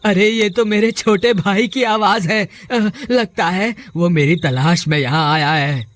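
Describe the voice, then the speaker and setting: A man's voice: high-pitched, unhurried, a bit bright. A man playing anxious, earnest village brothers in a cartoon horror story, speaking in a dramatic, exclaiming way. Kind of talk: Hindi cartoon story acting.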